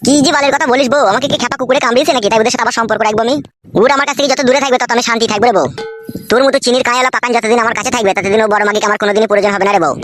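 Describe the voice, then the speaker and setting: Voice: mid-range voice, fairly even intonation, rapid-fire, fairly bright tone, close to an everyday voice. A performer voicing a crude, boastful, bossy village husband in a comedy cartoon, with coarse bantering talk. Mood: boastful devotion.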